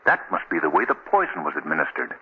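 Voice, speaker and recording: An actor playing a confident, urbane gentleman detective in a 1940s radio drama. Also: low voice, huge pitch swings, quick pace, husky, exaggerated voice. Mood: urgent. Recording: dull, faintly noisy 1940 radio recording.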